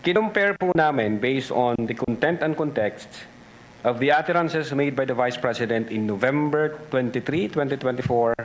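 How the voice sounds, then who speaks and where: A man with a Filipino accent giving formal, deliberate testimony as an investigator at a hearing, switching between English and Tagalog. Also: medium pitch, rough recording.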